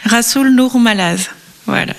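A woman in her thirties, a guest on an interview program. She tells her personal story slowly and thoughtfully. Her speech is full of hesitations and soft pauses. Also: a little high, creaky. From France (Marseille, Réunion). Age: 30s. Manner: hesitant, reflective, many fillers.

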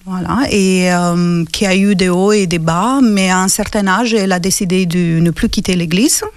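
A woman in her forties with a Romanian accent, a radio interview guest telling her story with frequent pauses and hesitations. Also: on the low side, steady tone, no room sound, studio quality. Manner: hesitant, many pauses and fillers. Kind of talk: interview conversation.

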